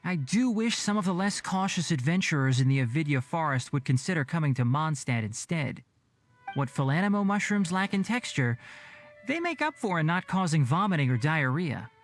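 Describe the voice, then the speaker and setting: A brisk, lively young scholar. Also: male voice, fairly high pitch, soft background music. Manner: brisk, knowledgeable and dry. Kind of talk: game cutscene voice acting.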